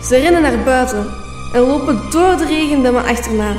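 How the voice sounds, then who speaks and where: A woman's voice: sits high, lively intonation, brisk, darkish tone. A woman reading scripted narration for a radio play. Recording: echoey room, faint music.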